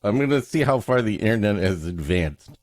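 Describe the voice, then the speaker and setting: A man's voice: fairly low pitch, animated, fairly quick, a little mellow, no room sound, studio quality. A man hosting a comedy podcast, mixing casual joking banter with mock-florid, dramatic reading of a story.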